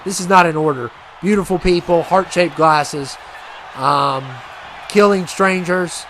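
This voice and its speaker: A man casually commentating a wrestling video game match, rambling and chatty with many fillers, and he says he has a loud voice. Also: sits high, measured pace, slight background noise. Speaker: gaming commentator.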